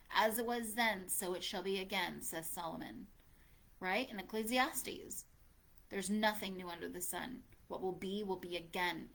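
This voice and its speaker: A woman's voice: medium pitch, gravelly, rough recording. A woman hosting a live audio room, speaking earnestly and quoting scripture in informal religious talk.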